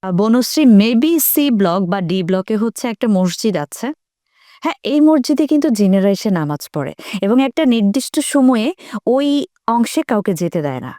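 Bangladeshi woman chatting, mid-pitched and dry. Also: lively intonation, normal speed, warm tone, dry recording. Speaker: participant in a group chat. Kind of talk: casual anecdotal storytelling.

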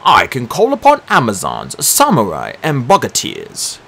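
A man's voice: medium pitch, huge pitch swings, a little slow, gravelly, pushed and bright, breathy edge, full character voice. A man voicing an impatient, scornful old male magician who snaps his demands in an audiobook. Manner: impatient, snapping.

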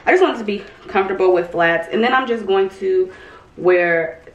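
A young woman vlogging at home, chatting casually to a camera a few feet away, relaxed, with lots of "um" and "like". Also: mid-range voice, moderate pace, lo-fi sound. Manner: chatty and relaxed, many "um" and "like" fillers. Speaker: lifestyle vlogger. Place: bedroom or dressing room at home, indoors. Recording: roomy echo of a bare room.

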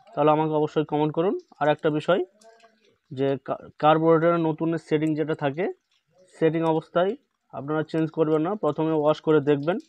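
A man talks through a repair, repeating each instruction step by step. His voice sits a little high and stays level, with little rise and fall. The sound is dry and slightly dull, like a phone recording. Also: dry recording. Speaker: repair channel host. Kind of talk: hands-on repair tutorial. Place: indoor workbench.

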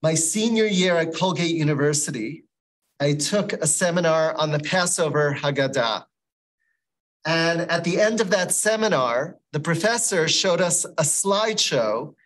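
A man's voice: fairly high pitch, animated, measured pace, fairly bright tone. A middle-aged man from the United States giving an informal talk in a large meeting hall, telling his story with many fillers, picked up by a distant room mic. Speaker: guest educator. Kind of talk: informal lecture.

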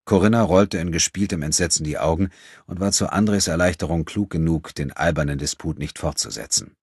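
Male narrator, very low pitch, husky, dry close sound, scripted literary reading. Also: fairly quick, a little mellow, no room sound. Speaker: audiobook narrator.

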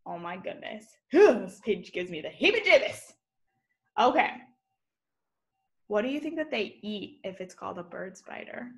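A woman's voice: medium pitch, big pitch swings, measured pace, pauses often. A young woman teacher reading a children's nonfiction picture book aloud, expressively, adding informal explanations and exclamations for young listeners. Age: young adult. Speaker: elementary school teacher. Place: room at home, indoors.

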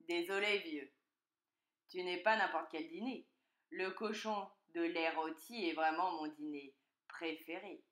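A woman's voice: lowish voice, steady tone, measured pace, a bit bright, echoey room. A woman voicing a hungry, boastful and gullible male fox in a children's storybook, with a gloating, theatrical manner.

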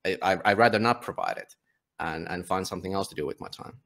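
The deep-voiced Finnish man you'd hear as a guest on an independent podcast, native in Finnish, speaking his mind bluntly and casually. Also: fast talker, vocal fry, a bit bright. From Finland. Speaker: podcast guest, volunteer book publisher. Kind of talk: casual interview talk.

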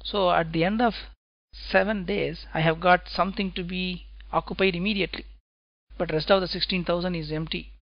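A man teaching software testing in a screencast lecture, talking step by step and checking in with "right?" after his points. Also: high-pitched, steady tone, dry recording, dull audio. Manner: slow, deliberate explaining, tag questions "right?".

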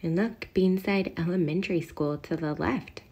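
A woman's voice: fairly low pitch, moderate pace, a little mellow, husky. A woman reading a picture book aloud as host, expressively, drawing out key phrases for emphasis. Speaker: storybook reader and video host.

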